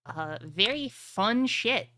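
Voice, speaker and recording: A woman's voice: mid-range voice, expressive, slow pace, pushed and bright. A woman game master chatting casually and informally to her players and stream viewers, swearing now and then. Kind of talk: casual chat wrapping up an online tabletop game session.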